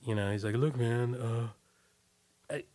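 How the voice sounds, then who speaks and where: A man speaking as an interview guest, casually recollecting in a loose, halting way and often trailing off. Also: medium pitch, steady tone, warm tone.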